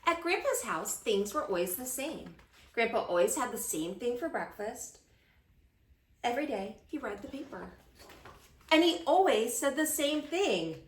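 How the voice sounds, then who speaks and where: Female storytime teacher, higher-pitched and very expressive, for picture book read-alouds. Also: a little slow, a little hiss or hum. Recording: roomy, echoing sound.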